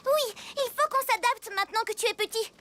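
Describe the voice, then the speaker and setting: A voice actor playing a plucky, impulsive young child superhero in an animated cartoon, excitable and full of exclamations. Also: very high-pitched, a little fast, heavy reverb, far from the mic. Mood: determined, dismayed. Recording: loud music bed behind dialogue. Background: loud cartoon soundtrack music.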